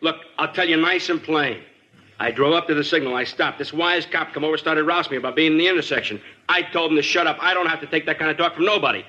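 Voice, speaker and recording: Bright, edgy cocky young hoodlum. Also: male voice, a little high, fast talker. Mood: sneering, defiant. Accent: American. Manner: sneering, slangy, evasive. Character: insolent, cocky male cop-shooting army deserter. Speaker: actor. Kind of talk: 1950s radio crime drama acting. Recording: muffled vintage 1950s radio recording.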